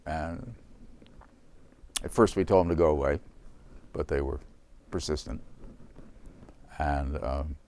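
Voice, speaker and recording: Older man with a very low voice. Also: slow, long pauses, darkish tone, a little hiss or hum. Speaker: company founder. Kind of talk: reflective interview talk.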